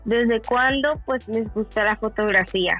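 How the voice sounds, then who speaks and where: An interview host speaking in a halting way who repeats words of thanks. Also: medium pitch, pushed and bright, soft background music. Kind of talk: hosting an interview.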